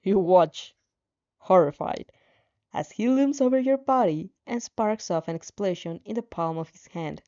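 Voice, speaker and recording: A woman reading a story aloud dramatically in the second person, expressive and informal, sometimes tripping over her words. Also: mid-range voice, a little slow. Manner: expressive, stumbles over words and giggles at slips. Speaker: fan fiction reader and reactor. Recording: dull lo-fi sound.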